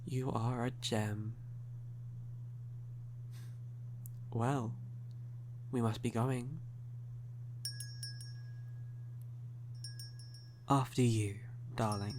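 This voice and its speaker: A man playing a suave, sly, hungry male vampire in an ASMR audio roleplay, speaking in a teasing, drawn-out manner. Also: medium pitch, steady tone, noisy background, lightly acted. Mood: charming, coy. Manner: slow, drawn-out, teasing, with breathy chuckles.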